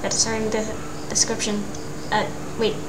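A woman's voice: medium pitch, monotone, measured pace. A woman doing a playful, giggly amateur cartoon dub, ad-libbing hesitantly as she goes. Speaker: amateur voice-over performer. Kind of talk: hobby cartoon fan dub, improvised character lines. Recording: noisy home recording.

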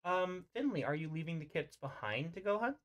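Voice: mid-range voice, expressive, fairly quick. A game master casually narrating an online roleplay over a voice call, in an informal, hesitant way.

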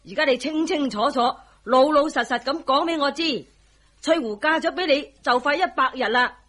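A woman's voice: sits high, moderate pace, fairly bright tone. An actress with a Hong Kong Cantonese accent playing a scolding, sarcastic middle-aged mother in a radio drama.

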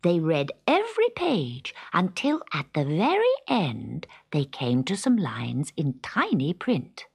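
A British woman narrating a children's fantasy story in a deliberate, dramatic manner. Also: low voice, huge pitch swings, vocal fry, slightly rough.

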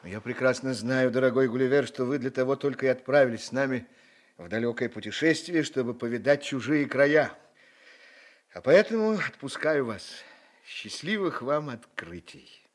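An actor playing a commanding yet courteous sea captain in a radio play, with an authoritative manner. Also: male voice, mid-range voice, expressive, normal speed, lightly acted. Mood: indulgent.

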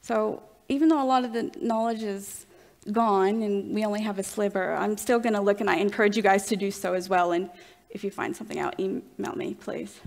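A young woman with a Southern US accent giving an earnest, enthusiastic conference lecture from a lectern, with pauses and fillers. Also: mid-range voice, creaky, a little mellow. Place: large dark conference hall, at a lectern.

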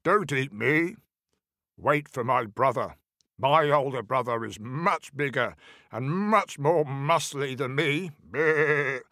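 A man's voice: mid-range voice, slow, bright, forceful tone, no echo, clean studio sound. A man voicing a wily, pleading middle billy goat brother who bleats between phrases, in a storybook telling. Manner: punctuates speech with bleats.

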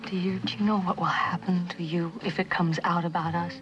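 Actress doing a worried young woman in an old 60s tv drama, kinda low voice, halting and pleading. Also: fairly even intonation, dull audio, over music, lightly acted. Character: anxious, pleading young woman in a secret affair. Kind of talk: 1960s television drama acting.

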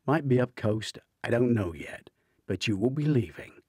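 A man's voice: mid-range voice, expressive, moderate pace, warm tone, husky, dry recording, studio quality, pitched above the performer's own voice. A man voicing a stubborn, earnest tech colleague in an audiobook, defensive and matter-of-fact.

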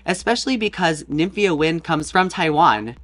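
A man who performs as a drag queen, chatting casually as a guest with quick jokes and asides, on a video call from a costume-filled room. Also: on the high side, lively intonation, a bit bright. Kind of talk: casual comedic chat. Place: home room with costumes behind.

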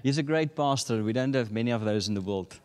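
A man's voice: medium pitch, quick pace, darkish tone. A man in his thirties from South Africa, Afrikaans his first language, preaching a sermon with a South African accent on a handheld mic in a large church. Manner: chuckling often, building to emphatic declarations. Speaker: guest preacher. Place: large church sanctuary, indoors. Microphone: handheld microphone.

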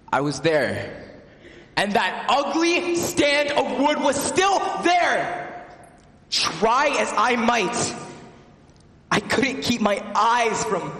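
A man's voice: very high-pitched, a little hiss or hum, full character voice. Young man acting a guilt-ridden disciple, echoing hall. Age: teenager or young adult. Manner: theatrical, emotional, long pauses with swells into shouting. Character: guilt-ridden, grieving disciple of Jesus, a fisherman. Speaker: monologue performer in a talent competition. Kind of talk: dramatic stage monologue. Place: large indoor hall, live on stage. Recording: echoey large hall, long reverb.

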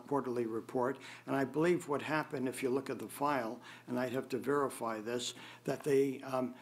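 Halting older man giving testimony. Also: medium pitch, a little hiss or hum. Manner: halting, hesitant, with frequent long pauses and throat clearing. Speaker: appellant testifying at a public hearing. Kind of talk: formal testimony, unscripted rebuttal. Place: government board meeting room, indoors. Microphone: gooseneck table microphone.

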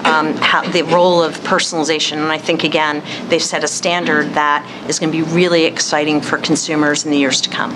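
A woman's voice: low voice, flat delivery, a little fast, hardly any pauses, background noise. A woman on a discussion panel, speaking in polished, upbeat industry terms with some fillers, at a table mic before an audience. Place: conference room with audience.

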